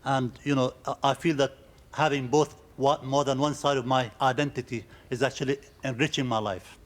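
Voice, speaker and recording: Emphatic man, middling pitch. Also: steady tone, normal speed. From Palestinian roots. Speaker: audience member. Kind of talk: giving opinions in an audience discussion.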